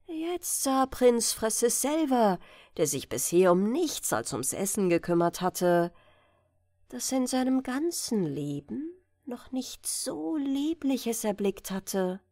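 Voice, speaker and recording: A woman's voice: a little high, very animated, slow, dark tone, no room sound, clean studio sound. A woman reading a scripted bedtime fairy tale as an audiobook, telling it carefully and expressively. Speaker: audiobook reader.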